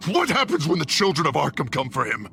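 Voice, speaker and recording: Unhinged, wildly swinging gravelly villain. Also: male voice, on the high side, wildly swooping pitch, bright, forceful tone, faint music behind, full character voice. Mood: bitter, mocking. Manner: swings from pleading to snarling, halting. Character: unhinged man with split personality, hurt, jealous, menacing. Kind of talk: dramatic video game voice acting.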